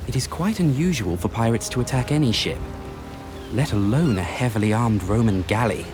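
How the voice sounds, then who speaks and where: A man playing a reasonable, educated Roman nobleman and advisor in a video game, measured, formal and articulate. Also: mid-range voice, expressive, music in the background, natural voice. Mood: reasoning. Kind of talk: scripted video game acting.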